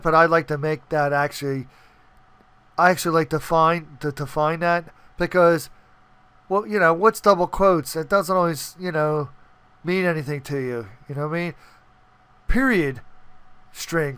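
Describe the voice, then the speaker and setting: A man's voice: fairly high pitch, a bit bright, clean tone, no echo. A man hosting a casual screen-recorded coding tutorial, talking informally and often checking in with the listener. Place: indoors, voice-over on screen capture.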